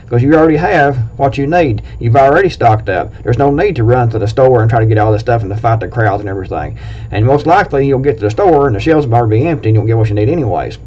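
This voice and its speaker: A man with an Appalachian accent talks plainly and bluntly to his viewers, wandering and restarting as he goes. His voice sits at a medium pitch. The recording is dull and lo-fi. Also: darkish tone, a little hiss or hum. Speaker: prepping video host. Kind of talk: casual talk to camera, reading a list.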